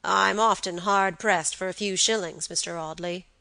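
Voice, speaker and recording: A woman's voice: on the low side, fairly even intonation, moderate pace, vocal fry, sharp tone, no echo. A woman voicing a peevish, self-pitying old grandfather in a storybook, plaintive and apologetic. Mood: peevish, tearful. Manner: plaintive, murmuring, repeats himself.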